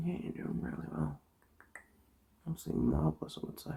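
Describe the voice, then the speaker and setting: A person whispering softly in an ASMR eye doctor roleplay, giving gentle, polite, reassuring instructions in a breathy voice. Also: mid-range voice, very animated, brisk.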